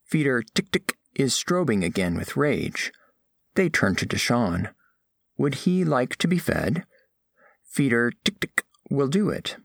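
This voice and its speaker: A man playing a formal, scholarly alien diplomat, narrating audio fiction in measured, precise phrases. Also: mid-range voice, big pitch swings, gravelly, no room sound, exaggerated voice. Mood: measured, curious.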